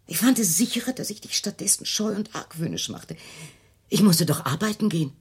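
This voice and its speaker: A woman playing a controlling, defensive middle-aged mother in an intimate radio play, measured and insistent, with a husky, breathy delivery. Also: mid-range voice, lively intonation, darkish tone. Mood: pleading, intense. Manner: measured, insistent, self-justifying. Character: controlling, defensive mother of a fearful grown daughter.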